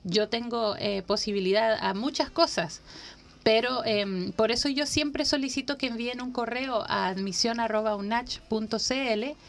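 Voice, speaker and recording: A woman from Honduras, a university admissions coordinator, explaining the process in a measured way on a radio interview, with many fillers and restarts. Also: a little high, gravelly. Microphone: radio studio microphone.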